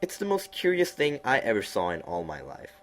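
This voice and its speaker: A man's voice: fairly low pitch, wildly swooping pitch, brisk, fairly bright tone, voice pushed up. A man reading a storybook, voicing a curious, polite young girl in a lifted, earnest tone.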